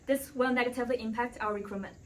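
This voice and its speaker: A woman's voice: a little high, steady tone, fast talker, slight background noise. A woman presenting a scripted argument as a panelist in a business role play.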